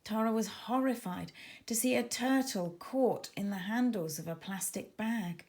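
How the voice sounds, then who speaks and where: A woman reading her children's book aloud as a dramatic, suspenseful and expressive narrator. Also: mid-range voice, a little slow. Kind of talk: expressive scripted story narration.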